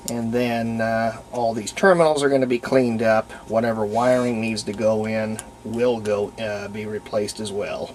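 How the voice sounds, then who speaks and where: A man's voice: fairly low pitch, fairly even intonation, moderate pace, hardly any pauses, a little mellow, a little hiss or hum, low-quality recording. A man giving a casual, unscripted hands-on repair demonstration, plainspoken and thinking aloud as he works. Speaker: host of a radio restoration video.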